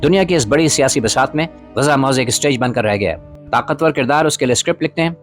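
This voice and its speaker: A man's voice: medium pitch, fast. A man narrating scripted political commentary as a podcast host, in a formal, literary manner with rhetorical emphasis. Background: background music.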